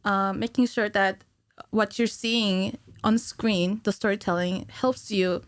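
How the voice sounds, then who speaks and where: A woman's voice: mid-range voice, fairly even intonation, takes it slowly, dry recording. A young woman speaking casually and chattily as a podcast guest, close to a studio microphone. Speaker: podcast guest, cinematic animator. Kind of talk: casual conversational interview. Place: small curtained podcast studio. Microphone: studio microphone on stand, close.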